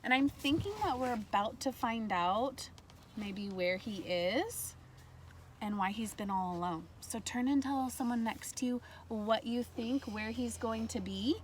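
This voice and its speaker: A woman's voice: on the high side, a little slow, slight background noise. A woman teacher leading a read-aloud lesson for young children, explaining things simply and prompting her listeners. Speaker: elementary school teacher.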